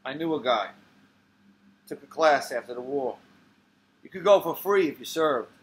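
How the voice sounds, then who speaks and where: A man's voice: medium pitch, close to an everyday voice, poor audio. A man reading a stage monologue as a crude, uneducated old war veteran, rambling and swearing bluntly. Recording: clear background noise.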